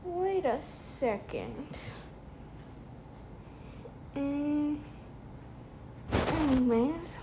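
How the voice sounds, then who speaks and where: A woman's voice: sits high, lively intonation, extremely slow, pauses often, background noise. A young woman hosting a casual solo podcast from her bedroom late at night, chatty and rambling with lots of fillers, sounding tired. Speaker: podcast host.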